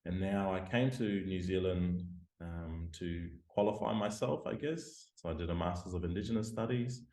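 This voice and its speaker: A man from Australia, a scientist and lecturer, talking thoughtfully over a video call and pausing often with ums. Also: low voice, dark tone, echoey room. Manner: hesitant, many ums, self-deprecating. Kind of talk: reflective interview talk. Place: home room by a window.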